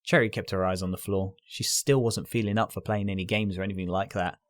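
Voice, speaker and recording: A man's voice: sits low, steady tone, fast. A man reading scripted story narration as the narrator. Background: music.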